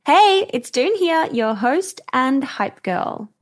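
A woman hosting a podcast in an upbeat, chatty way, her voice a bit croaky. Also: high voice, sing-song, slow pace, faint music behind. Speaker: podcast host. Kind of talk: casual podcast monologue.

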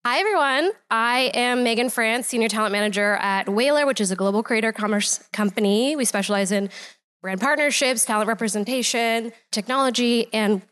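A woman's voice: a little high, a little fast, fairly bright tone. Upbeat woman moderating a live panel. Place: live event stage.

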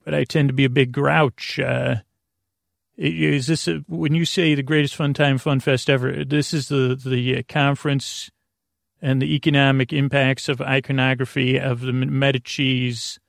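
A man with a gravelly voice, hosting a bedtime podcast in a halting, meandering monologue that repeats itself and trails off. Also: mid-range voice, little pitch movement, no echo, studio quality. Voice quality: gravelly, creaky. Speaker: podcast host.

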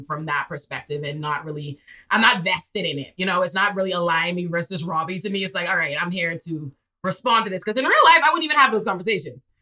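A young woman from the Bahamas, a public defender, chatting casually on an interview show and acting out quotes with lively laughter. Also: lowish voice. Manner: animated storyteller, laughs often, acts out quotes. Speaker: public defender and political commentator.

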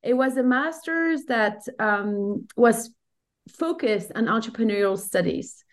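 A woman's voice: on the high side, lively intonation, unhurried. A woman from Belgium, speaking reflectively as a guest in a conversational interview and often searching for her words. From Brussels, Belgium. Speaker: professor, podcast guest.

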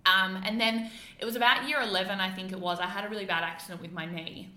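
A woman's voice: mid-range voice, steady tone, fast talker, pushed and bright, echoey room. A young woman from the UK with a mixed British and Australian accent, chatting openly as a podcast guest with frequent fillers and laughs. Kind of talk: casual interview chat.

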